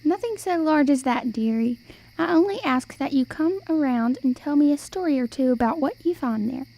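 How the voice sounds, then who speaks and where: A woman's voice: high voice, expressive, normal speed, mellow, rough recording. A young woman playing a sly, folksy old travelling storyteller in an amateur radio play. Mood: coaxing. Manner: folksy, old-fashioned phrasing.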